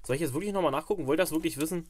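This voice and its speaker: A man's voice: a little high, rapid-fire, slight background noise. A man hosting a casual fishing unboxing vlog, chatty and rambling as he thinks aloud, filmed indoors at a table on a camera mic. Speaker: fishing channel host.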